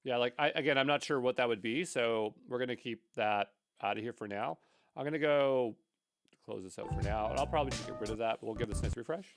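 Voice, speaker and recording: A man's voice: mid-range voice, fast talker, music in the background. A man giving an unscripted coding tutorial, thinking aloud in a rambling way with mildly exasperated asides. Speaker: tutorial instructor.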